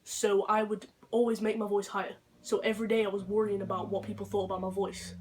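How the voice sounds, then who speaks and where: A young man with a deep voice, talking unscripted in a rambling, earnest vlog monologue. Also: flat delivery, fast talker, a little hiss or hum. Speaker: vlogger.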